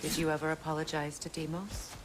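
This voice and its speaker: A woman playing a wounded, guarded warrior goddess in a video game, speaking in a measured, articulate, formal way. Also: sits low, level tone, noisy background, natural voice. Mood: wounded, reflective. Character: wounded, wistful goddess warrior, guarded yet commanding in battle.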